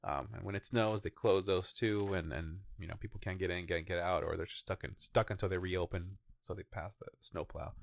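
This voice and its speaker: A man's voice: deep voice, fairly even intonation, fairly quick, vocal fry, few pauses. A man in his thirties co-hosting a casual podcast, rambling in an easygoing way and swearing now and then. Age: 30s. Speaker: podcast co-host. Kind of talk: casual chat.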